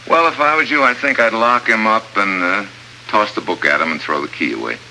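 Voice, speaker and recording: Deep-voiced, hard-boiled American agent. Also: male voice, fairly quick, bright, forceful tone. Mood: tough, mocking. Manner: wry, clipped, hard-boiled. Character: tough, wisecracking American male secret agent. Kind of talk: 1950s radio adventure drama acting. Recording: noisy old radio drama recording.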